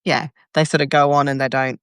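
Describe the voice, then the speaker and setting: A woman co-hosting a casual chat, relaxed and agreeable, laughing easily. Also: extremely low pitch, a little fast, smooth, dry recording, studio quality. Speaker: podcast co-host.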